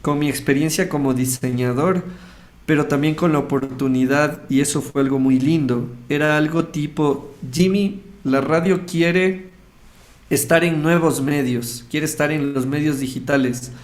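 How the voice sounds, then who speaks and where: Higher-voiced Ecuadorian man telling his story. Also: a little slow. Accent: Ecuadorian Spanish. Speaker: radio host interviewed as guest. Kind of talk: casual personal testimony, storytelling.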